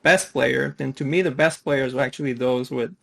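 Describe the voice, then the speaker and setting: A man's voice: mid-range voice, steady tone, normal speed, vocal fry, fairly bright tone. A man from Quebec, a native French speaker with a Quebec French accent, in his thirties, answering interview questions on a call with many fillers and restarts. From Quebec, Canada. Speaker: podcast guest. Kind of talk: casual interview chat.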